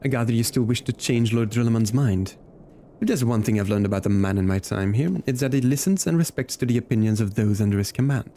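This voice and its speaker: A man's voice: medium pitch, fast, warm tone, slight background noise, natural voice. A man reading game dialogue aloud as a plain-spoken, hearty garrison cook in a fantasy game, with folksy old-fashioned phrasing. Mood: hearty, persuasive.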